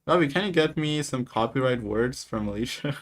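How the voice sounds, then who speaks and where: A man's voice: medium pitch, lively intonation, moderate pace. A man from Nepal on a live coding stream, casually dictating each line of code and chatting with viewers. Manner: casual, talks through each step while typing.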